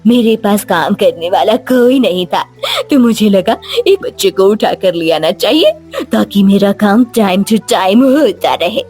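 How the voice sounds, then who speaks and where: A woman voicing a cruel, bossy witch who scolds and orders children about in an animated children's story. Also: mid-range voice, unhurried, faint music behind, close to an everyday voice. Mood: sly, mocking. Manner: scolding, domineering.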